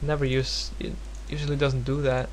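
A man from Norway speaking English as a second language with a Norwegian accent, giving a casual, unscripted software tutorial in a hesitant, rambling, self-deprecating way. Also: mid-range voice, level tone, normal speed. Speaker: tutorial presenter. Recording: home recording with clear background noise and hum.

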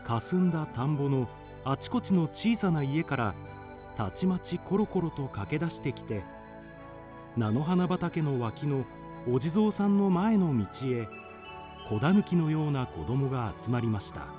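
Expressive male storybook narrator over music. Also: a little high, unhurried. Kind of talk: scripted storybook radio drama narration.

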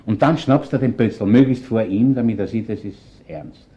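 An actor playing a gruff, shrewd middle-aged Viennese chief inspector in a radio crime drama, speaking bluntly and colloquially. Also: male voice, on the low side, moderate pace, highs cut off, natural voice. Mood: brisk, commanding. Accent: Viennese Austrian. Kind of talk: German-language radio crime drama acting.

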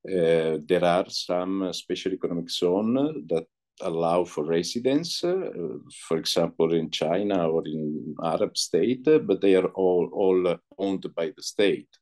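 A middle-aged man from Italy speaks in a very low voice, stopping often with fillers as he gathers his thoughts. He talks over a laptop mic on a video call from an indoor room. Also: animated, darkish tone. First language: Italian. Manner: halting, many filler pauses. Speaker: interview guest, entrepreneur. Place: indoor room, light walls.

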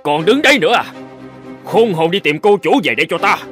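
A man's voice: high-pitched, expressive, brisk, a bit bright. An actor playing a stern, stubborn middle-aged village father in a cartoon drama, commanding and emphatic as he scolds. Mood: commanding, desperate. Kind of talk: animated cartoon drama dialogue. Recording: music behind the voice.

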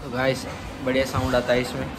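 A man's voice: mid-range voice, monotone, a little fast, a little hiss or hum. A young man, casually narrating a vlog to his viewers. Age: young adult. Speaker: vlogger. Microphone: phone at arm's length.

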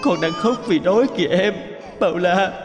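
A man's voice: high voice, normal speed, close to an everyday voice. A man playing a devoted, anxious young husband and new father in a dubbed cartoon drama, speaking earnestly and emotively. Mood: anguished, pleading. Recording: music behind the voice.

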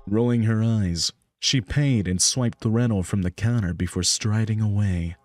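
A man's voice: lowish voice, moderate pace, a little mellow. A man reading scripted story narration for a visual novel. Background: background music.

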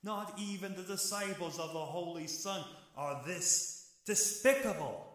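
An actor playing a stern, sorrowfully righteous archangel in an audio drama, with grave, declamatory delivery. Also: male voice, high-pitched, lively intonation, unhurried, fairly bright tone. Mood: stern, indignant. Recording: heavily echoing voice.

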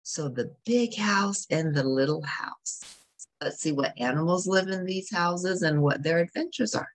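A woman's voice: on the low side, a little slow. A woman reading a picture book aloud as a storyteller, expressively, with explanatory asides to young listeners.

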